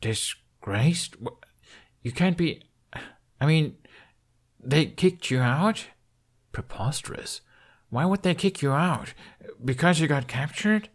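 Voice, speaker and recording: A man's voice: on the high side, animated, slow, pauses often, voice pushed up. An earnest young male Viking, acted out by an audiobook narrator, caring and stubbornly persistent. He presses his questions with feeling in a fantasy story. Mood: incredulous.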